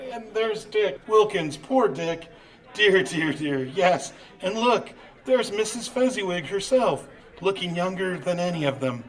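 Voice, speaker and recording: A man's voice: high-pitched, expressive, measured pace, low-quality recording. A man playing an anxious, wistful old miser in old-time radio drama style, stammering and exclaiming. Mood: delighted, nostalgic.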